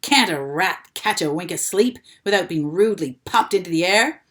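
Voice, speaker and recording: A woman's voice: on the low side, expressive, normal speed, a bit bright. A woman reading aloud and voicing a lazy, grumpy male rat who drawls sarcastic and self-pitying remarks.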